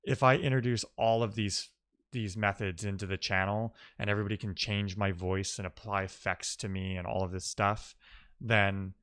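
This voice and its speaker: A man who streams live coding, chatting casually and musing out loud in loose fragments as he works. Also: low-pitched, clear, resonant tone. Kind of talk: casual thinking-aloud coding stream chat.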